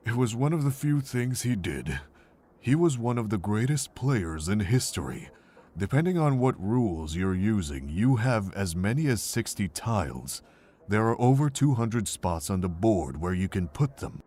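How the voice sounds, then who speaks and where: A man voicing a weary, kindly older monk with a measured, earnest way of telling things, in a fan visual novel. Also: fairly low pitch, big pitch swings, dark tone, husky. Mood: grave, earnest.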